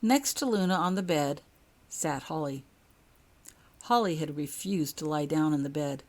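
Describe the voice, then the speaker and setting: A woman's voice: low-pitched, unhurried, a little mellow. A woman narrating a scripted story as an audiobook, reading her own fiction.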